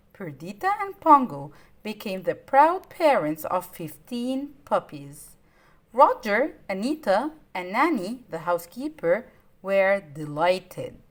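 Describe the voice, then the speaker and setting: A woman storyteller reading aloud to children in an expressive, simply worded way with dramatic emphasis. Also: medium pitch.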